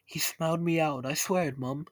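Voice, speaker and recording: A man voicing a cheeky, naive and excitable young boy with an eager, exclamatory manner in a storybook rhyme. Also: normal speed, no room sound, natural voice. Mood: frightened. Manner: eager, exclamatory, higher than narration.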